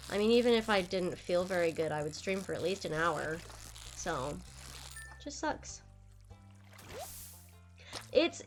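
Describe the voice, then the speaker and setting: A young woman streaming a game, chatting casually with viewers while stuffed up and nasal from a cold. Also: mid-range voice. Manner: chatty, rambling, lively. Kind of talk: casual live-stream chat while gaming.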